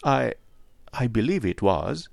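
A man reads the part of a composed English gentlewoman in a mystery audiobook. She is polite and measured, faintly perplexed, with an animated lift in the pitch. The recording is very dry. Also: vocal fry, no room sound, heavily acted.

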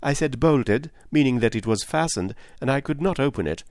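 A man reads the part of a composed English gentlewoman in a mystery audiobook. She is polite and measured, faintly perplexed, with an animated lift in the pitch. The recording is very dry. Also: dry recording, lightly acted.

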